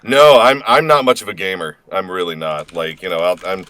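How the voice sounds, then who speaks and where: Low-voiced American man, self-deprecating. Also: animated, a little fast, bright, forceful tone. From Indiana, United States. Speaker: voice actor, stream guest. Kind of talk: casual chat.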